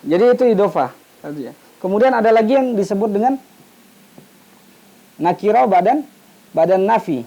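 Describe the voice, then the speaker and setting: A man's voice: high voice, animated, moderate pace, vocal fry, pauses often, dark tone, highs cut off. A man giving an informal, explanatory religious lesson, posing questions and answering them, often repeating half-said words to finish them. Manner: didactic, asks questions then answers them. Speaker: Islamic studies teacher.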